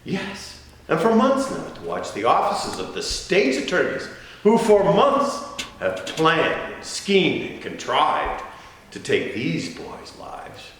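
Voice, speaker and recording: In a very echoey room, a man acts out an older male defense lawyer delivering a theatrical courtroom plea. He speaks slowly and with great weight, his pitch swinging widely. His oratory is impassioned, full of dramatic pauses and swells. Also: very animated, a bit bright. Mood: accusing. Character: veteran male defense lawyer pleading passionately for young clients' lives. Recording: very echoey room, faint noise.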